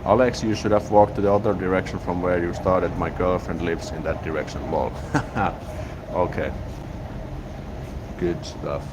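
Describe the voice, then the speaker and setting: A man's voice: low-pitched, fairly even intonation, moderate pace. A man with a Finnish accent chatting casually on a live stream outdoors, reading viewer comments and rambling with frequent restarts. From Finland. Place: outdoors in a city, near a train station. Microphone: smartphone on a gimbal. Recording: outdoor street noise behind.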